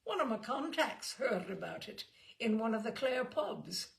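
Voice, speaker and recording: Eager young male Glasgow reporter, acted by a woman narrator, polite but pushy, animated audiobook character with touches of creak. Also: on the high side, measured pace, voice pushed up, exaggerated voice. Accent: Glasgow Scottish. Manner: chatty, apologetic yet persistent.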